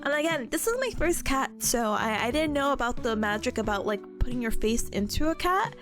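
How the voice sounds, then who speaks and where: A woman's voice: fairly high pitch, animated, fairly quick, music in the background. A young woman streamer chatting casually with viewers, bubbly and giggly, her voice partly worn out. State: voice partly gone. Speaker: streamer hosting a study stream. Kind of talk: casual chat reading viewer messages.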